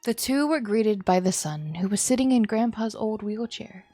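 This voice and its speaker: A woman's voice: medium pitch, expressive, normal speed, warm tone, no room sound. Woman narrating a visual novel, dry sound. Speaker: streamer. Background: music.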